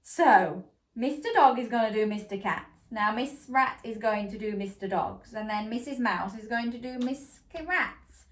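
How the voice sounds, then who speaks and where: A woman's voice: on the high side, a little slow. A woman reading a children's bedtime story aloud, playful and interactive with young listeners. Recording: roomy echo.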